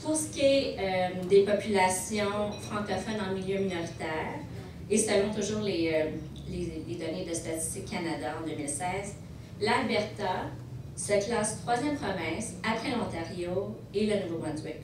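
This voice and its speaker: Woman lecturing in echoey hall. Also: medium pitch. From Montreal, Quebec. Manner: measured, with frequent hesitations and restarts. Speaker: lawyer presenting at a conference. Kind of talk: prepared legal presentation. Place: large banquet hall. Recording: echoey hall, distant mic, background noise.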